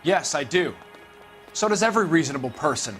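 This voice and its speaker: Defiant idealist under suspicion, crime game, high voice, defensive and scornful, light underscore. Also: male voice, animated, fairly quick, fairly bright tone, faint music behind. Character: defiant, idealistic male arson suspect in 1940s America. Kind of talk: video game voice acting.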